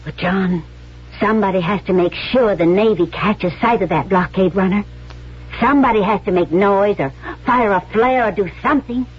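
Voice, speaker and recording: A woman's voice: medium pitch, moderate pace, slightly rough. An actress playing a bold, cunning woman spy in a radio drama, resolute and persuasive. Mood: determined, scheming. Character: bold, cunning female actress turned Civil War spy. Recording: muffled vintage radio recording.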